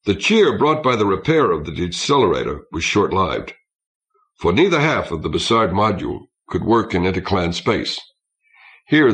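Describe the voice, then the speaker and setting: Low-voiced man narrating with lively intonation. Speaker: audiobook narrator. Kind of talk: scripted narration of a science fiction story. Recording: old cassette audiobook transfer.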